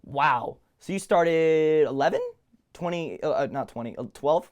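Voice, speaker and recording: A man's voice: a little high, a little slow, lo-fi sound. A man hosting a talk show, interviewing his guest with eager enthusiasm. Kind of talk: casual interview chat.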